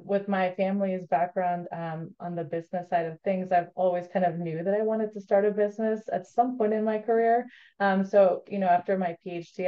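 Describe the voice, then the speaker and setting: A woman in her thirties, a startup CEO speaking on a webinar panel, measured with many ums. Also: on the low side. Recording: lo-fi video call audio.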